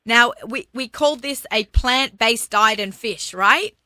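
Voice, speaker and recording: Upbeat female host with a bright, fairly high voice. Chatty interviewer. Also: dry recording, studio quality. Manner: upbeat, chatty, quick reactions. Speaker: radio show host. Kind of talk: radio interview.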